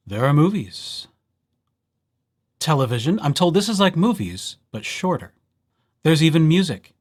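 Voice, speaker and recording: A man giving a casual software walkthrough as a librarian, in a playful, wry and chatty way. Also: mid-range voice. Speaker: librarian presenting a tutorial series.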